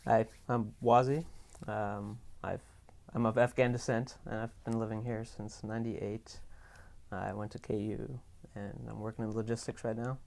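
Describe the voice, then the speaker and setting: A young man working in logistics, speaking in a low-key, soft-spoken way in an interview. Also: flat delivery, normal speed, creaky. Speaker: logistics worker. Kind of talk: personal testimony in a documentary interview.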